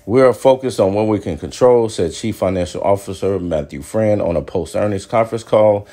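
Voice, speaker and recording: Male business commentator, very low register and relaxed, mellow timbre, informal delivery of earnings reports. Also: brisk. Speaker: commentator presenting earnings news. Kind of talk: informal commentary and reading aloud of financial news.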